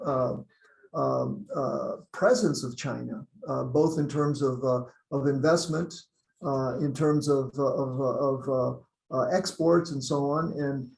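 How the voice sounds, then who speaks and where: Brief: gravelly, slightly high-pitched man, hesitant academic panelist, echoey room. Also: a little mellow. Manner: hesitant, many fillers, conversational. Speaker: panelist, survey director.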